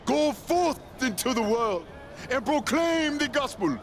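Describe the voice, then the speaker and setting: An actor playing a zealous, guilt-ridden preacher in a video game, theatrical and sermonizing. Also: male voice, very high voice, big pitch swings, unhurried, exaggerated voice. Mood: grateful, solemn. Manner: theatrical, sermonizing, quoting scripture. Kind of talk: video game cutscene acting.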